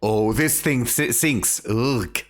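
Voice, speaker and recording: A man's voice: medium pitch, slow. A man hosting a casual game stream, laughing often and restarting his sentences. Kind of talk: casual gaming chat.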